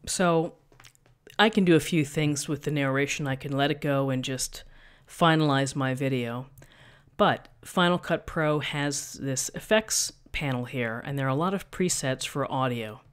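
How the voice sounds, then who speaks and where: Middle-aged woman, thin voice, calm step-by-step explaining, desk mic, tutorial host. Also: rumbling low, measured pace, clean tone. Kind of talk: screen-recorded software tutorial. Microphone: USB desk microphone.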